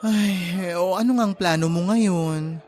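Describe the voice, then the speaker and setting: A man acting a chatty, caring young male best friend in a radio drama, with an expressive, playful, coaxing delivery. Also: high voice, measured pace. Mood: startled, concerned.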